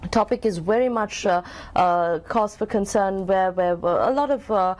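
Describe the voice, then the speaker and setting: A woman's voice: mid-range voice, moderate pace, darkish tone. A woman from Sri Lanka interviewing guests, asking long questions with many hesitant ums and uhs.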